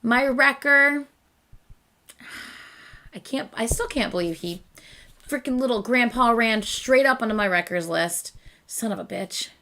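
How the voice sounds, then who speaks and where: A woman's voice: fairly high pitch, measured pace, pauses often, pushed and bright. A young woman hosting a late-night reaction livestream, chatting casually with viewers and laughing often as her voice begins to give out. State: losing her voice. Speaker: reaction channel host.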